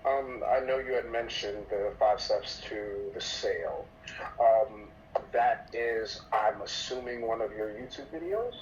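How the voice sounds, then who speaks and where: A man from New York practicing a sales call as a real estate agent, polite and a bit halting. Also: fairly low pitch. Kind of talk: role-play sales call. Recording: dull muffled sound with faint noise.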